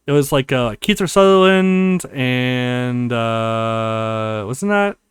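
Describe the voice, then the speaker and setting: A man hosting a let's play, talking to his viewers in an animated way as he reads a visual novel aloud. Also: mid-range voice, slow, clear, resonant tone, dry recording, clean studio sound. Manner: animated, acting out dialogue. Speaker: gaming channel host.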